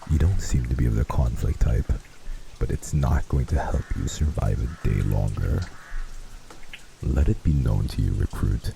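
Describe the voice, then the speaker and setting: A man's voice: a bass voice, lively intonation, unhurried, vocal fry, noisy background, exaggerated voice. A man playing a heartless vampire lord and crime boss in a fantasy audio roleplay, speaking in a pompous, archaic, menacing way. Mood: cold, commanding.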